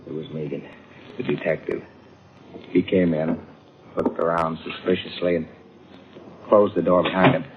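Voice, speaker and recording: An actor playing a guilt-ridden, nervous murderous thief in an old-time radio crime drama, tense, faltering and dramatic. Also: male voice, deep voice, flat delivery, moderate pace, pauses often, natural voice. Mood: grim narrating. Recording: tinny vintage radio recording with music.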